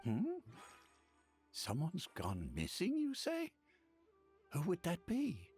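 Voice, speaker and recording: An elderly village grandfather with a husky voice that swoops widely in pitch. He is a courteous, hesitant and forgetful video game character, speaking over music. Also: wildly swooping pitch, takes it slowly, a little mellow, heavily acted. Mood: bewildered. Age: older.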